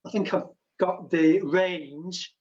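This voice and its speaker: Slow, calm man on a lo-fi call. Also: sits high. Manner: calm, hesitant, filler-heavy delivery. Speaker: improv performer. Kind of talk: improvised comedy scene. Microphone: video call. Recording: dull lo-fi video call audio.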